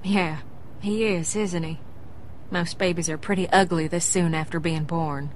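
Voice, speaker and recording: An actress playing a kindly, practical, calm woman survivor in a dramatic video game. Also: on the low side, measured pace, a little hiss or hum, close to an everyday voice. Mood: gentle.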